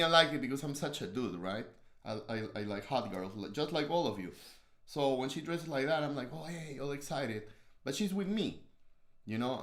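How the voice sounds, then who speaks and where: A man's voice: mid-range voice, roomy sound. A man from Peru with a strong Peruvian Spanish accent, a guest chatting casually and joking boastfully on a livestream.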